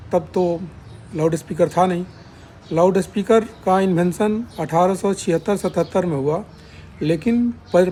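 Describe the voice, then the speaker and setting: Male explainer host, high pitch, measured and formal with broken phrasing; faint background noise and birds. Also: a little mellow. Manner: halting delivery in short broken phrases. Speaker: YouTube channel host. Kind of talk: spoken explainer, point by point.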